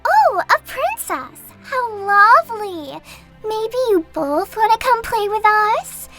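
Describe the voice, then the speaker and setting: A very high, bright little filly played by a woman chatters with bouncy, cheeky energy. Her pitch leaps around a lot, with soft music behind. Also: big pitch swings, slow, full character voice. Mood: gleeful. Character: excitable young filly, playful and cheeky. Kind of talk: cartoon fan dub.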